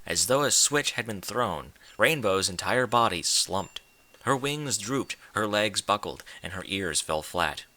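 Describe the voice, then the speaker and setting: A man's voice: fairly low pitch, animated, a little slow, bright, forceful tone. A man reading scripted fiction as an audiobook narrator.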